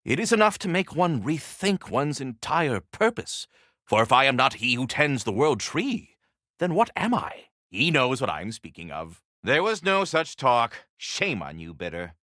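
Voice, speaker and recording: Fussy, wildly animated squirrel. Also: male voice, mid-range voice, a little slow, no room sound, clean studio sound. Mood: worried, indignant. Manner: ornate formal diction, effusive courtesy, theatrical fretting. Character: fussy, verbose, polite talking squirrel, tends the World Tree. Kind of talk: video game character acting.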